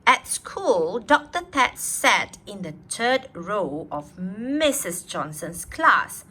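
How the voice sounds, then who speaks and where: Bright, animated woman reading to young children. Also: mid-range voice. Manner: teacherly, expressive, drawn-out words. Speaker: storybook reader for young children. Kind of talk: read-aloud storytelling with questions to children.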